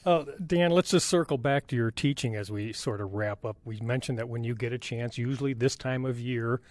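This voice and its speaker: A man's voice: mid-range voice, fairly quick, darkish tone, no room sound. A man hosting an interview in a conversational way. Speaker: interviewer, radio host.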